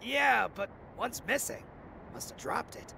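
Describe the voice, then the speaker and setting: Jittery, creaky-voiced young bandit. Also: male voice, high-pitched, wildly swooping pitch, a little slow, bright, forceful tone, slight background noise, exaggerated voice. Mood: glum. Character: nervous, skinny bandit son, a gang henchman. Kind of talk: video game voice acting.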